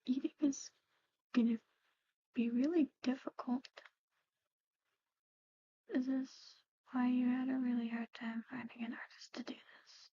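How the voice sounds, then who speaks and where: Soft, mellow woman in hushed ASMR. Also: high voice, flat delivery, lo-fi sound. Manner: hesitant, halting, gently conversational. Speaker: ASMR roleplay performer. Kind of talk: whispered and soft-spoken ASMR roleplay.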